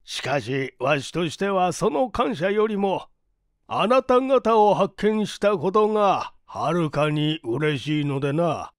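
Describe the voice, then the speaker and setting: A performer voicing a genial, naive, well-off older gentleman with a leisurely, pompous, old-fashioned way of talking in a storybook reading. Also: medium pitch, expressive, takes it slowly, no room sound, clean studio sound. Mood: pleased, self-satisfied. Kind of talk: dramatized literary reading.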